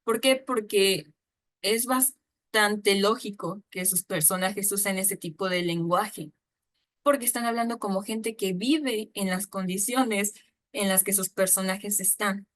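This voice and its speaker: Woman lecturer, chatty, mid-pitched, informal, lots of asides. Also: measured pace. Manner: chatty, with frequent fillers and asides. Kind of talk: informal online talk on storytelling.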